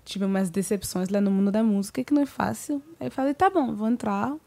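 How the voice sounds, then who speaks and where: A woman in her thirties from Montreal, a singer being interviewed, speaking in a soft, measured way. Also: medium pitch, gravelly. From Montreal, Canada. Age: 30s. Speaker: guest singer. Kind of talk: interview conversation.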